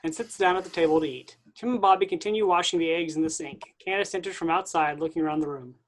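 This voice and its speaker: A reader voices the stage directions of a staged play reading in a matter-of-fact, even way. The pitch sits in a middle range. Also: quick pace.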